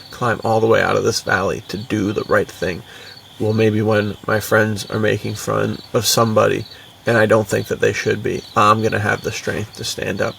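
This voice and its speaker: Very gravelly, slangy male podcaster. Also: lowish voice, a bit bright. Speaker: podcast co-host and fiction writer. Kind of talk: casual podcast chat. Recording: distant mic with faint background noise.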